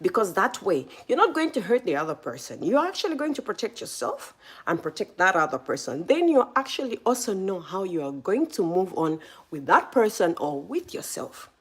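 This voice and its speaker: A woman's voice: medium pitch, moderate pace, breathy edge. A woman from Zambia hosting a live stream, giving motivational advice and speaking straight to her viewers. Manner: animated, speaks straight to viewers, greets chat by name.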